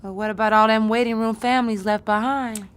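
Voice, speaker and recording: An actress in her twenties playing an eager, naive American niece new to a front-desk job, in a naturalistic audio drama, earnest and forceful. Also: fairly high pitch, fairly even intonation, a little fast, smooth, close to an everyday voice. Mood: questioning, earnest. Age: 20s.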